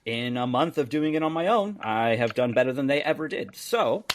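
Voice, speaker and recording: A man's voice: medium pitch, expressive, normal speed, background noise. A man guesting on a casual podcast about his hot sauce business, talking candidly and bluntly with plenty of fillers and restarts, and acting out the conversations he recounts. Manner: candid and blunt, many fillers and restarts, acts out quoted exchanges.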